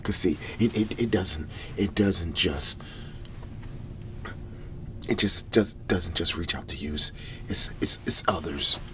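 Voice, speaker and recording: A man playing a weary, desperate cleanup agent in an ASMR roleplay, pleading in halting, breathy whispers and murmurs. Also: low-pitched, bright, forceful tone, a little hiss or hum, exaggerated voice. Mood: weary, troubled.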